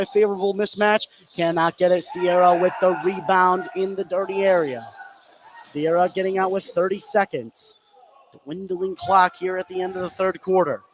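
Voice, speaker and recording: A male American radio announcer delivers live basketball play-by-play in a fairly high voice. He calls the action excitedly. Clear venue noise runs behind him. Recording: live game broadcast with clear background noise. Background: sports venue noise.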